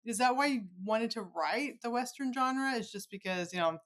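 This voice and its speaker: A woman's voice: a little high, moderate pace, a bit bright. An American woman hosting a casual podcast interview, encouraging and affirming as she asks questions. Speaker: podcast host.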